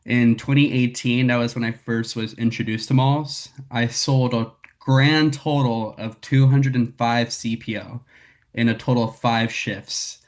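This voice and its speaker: A young man from Northern California, raspy from a cold, talking candidly to his team over a video call with lots of "um" and "like". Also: medium pitch, fairly even intonation, measured pace, a little mellow. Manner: candid, filler-heavy, says "like" and "um" a lot. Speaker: sales rep presenting to a team. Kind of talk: casual talk on a video call.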